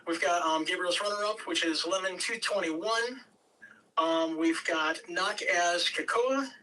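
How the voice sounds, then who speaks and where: High-voiced man hosting, far from the mic. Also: a little slow, bright, forceful tone. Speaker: host directing an online table read. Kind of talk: casual coaching and announcing. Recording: distant mic with faint background noise.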